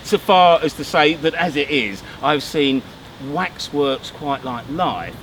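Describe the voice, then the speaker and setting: A man plays a brooding, theatrical Victorian gentleman, weary of the world. He speaks slowly and haltingly with big swings in pitch, heard a little way from the mic in a noisy recording. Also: lively intonation. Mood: wry. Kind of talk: dramatic literary recitation. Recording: distant, noisy amateur recording.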